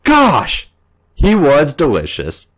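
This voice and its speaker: A man's voice: on the high side, takes it slowly, heavy background noise. Theatrical man reading stories aloud. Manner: playful, theatrical reading with lively swings. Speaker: podcast host reading fan fiction. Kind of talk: dramatic reading of erotic fan fiction.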